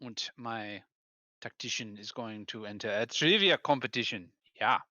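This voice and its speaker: A man's voice: fairly low pitch, moderate pace, bright, forceful tone, no echo. A man playing in a tabletop game, casual, making dry remarks, on a dull lo-fi mic. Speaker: player.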